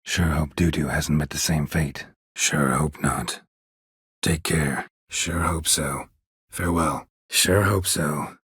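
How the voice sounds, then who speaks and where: A man voicing a gruff, weary monster hunter in a fantasy video game, with a husky rasp and terse, deadpan, sardonic sentences. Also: rumbling low, no room sound, heavily acted. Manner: terse, clipped, deadpan sentences with dry sarcasm.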